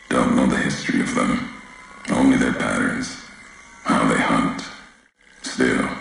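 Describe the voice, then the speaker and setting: An actor playing a grave, brooding wasteland wanderer in a video game, solemn and cryptic, speaking in clipped sentences with a gravelly voice. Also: male voice, very deep voice, fairly bright tone, full character voice. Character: grave, brooding male wasteland wanderer, bitter about history. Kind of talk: dramatic scripted game dialogue. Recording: heavy echo, faint background noise.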